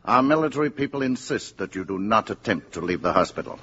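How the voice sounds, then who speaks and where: Courteous, swooping-voiced government official. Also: male voice, on the low side, lively intonation, quick pace, fairly bright tone. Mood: apologetic, firm. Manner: hesitant, courteous, formal. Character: apologetic, diplomatic government official. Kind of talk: 1950s radio drama acting. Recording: dull, hissy 1950s radio recording.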